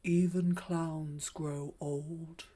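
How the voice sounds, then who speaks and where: A woman voicing a sad, gentle old retired circus clown in a storybook, speaking slowly and solemnly. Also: deep voice, little pitch movement, full character voice. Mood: solemn, regretful.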